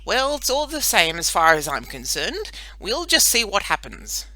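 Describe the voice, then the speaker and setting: Brief: a reader playing a grumbling, outspoken servant girl, bright-toned. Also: mid-range voice, big pitch swings, normal speed, dry recording, pitched above the performer's own voice. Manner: loud, sulky. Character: blunt, grumbling maidservant. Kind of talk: storybook reading.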